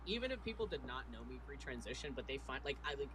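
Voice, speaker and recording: A man's voice: mid-range voice, sing-song, quick pace, background noise. A chatty, frank man who swears casually, talking as a guest on a livestream.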